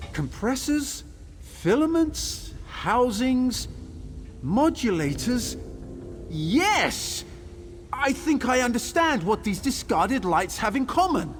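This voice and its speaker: An actor playing a fussy, curious and anxious scholarly tinkerer in a video game, speaking in a formal, exclamatory way. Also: male voice, high-pitched, slight background noise, heavily acted. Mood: curious, musing. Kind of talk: video game character dialogue.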